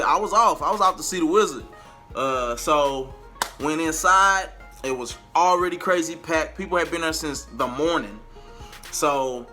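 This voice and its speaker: An American man telling a story in a casual, slangy vlog style, chatty and animated, with drawn-out emphasis and repetition. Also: fairly high pitch. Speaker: vlogger and amateur singer.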